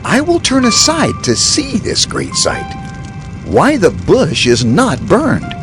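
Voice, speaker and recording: Male actor as humble biblical shepherd: higher-pitched, highly animated, earnest, questioning, over prominent music. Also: takes it slowly, exaggerated voice. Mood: earnest, uncertain. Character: Moses, humble, hesitant male shepherd prophet. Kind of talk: dramatized scripture reading.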